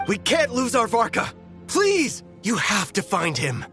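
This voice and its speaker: A desperate young knight in a video game. The voice is extremely high and sharp, pleading with all it has. Faint music plays behind. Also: male voice, huge pitch swings, a little slow, heavily acted. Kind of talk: scripted fantasy game dialogue.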